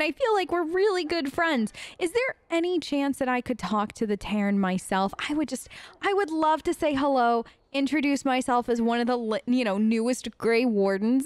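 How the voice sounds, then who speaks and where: A woman with a high voice chats her way through a role-play gaming video. She is polite and rambles as she goes, and the sound is close and dry. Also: dry recording. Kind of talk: improvised role-play chat over a video game.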